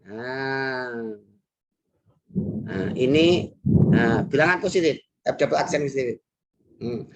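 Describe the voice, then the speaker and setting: A woman's voice: rumbling low, lively intonation, slow pace, long pauses, rough recording. A woman teaching a math lesson with an Indonesian accent, working through problems patiently and repeating key phrases, with frequent "nah" and "hmm". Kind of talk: recorded classroom math lesson.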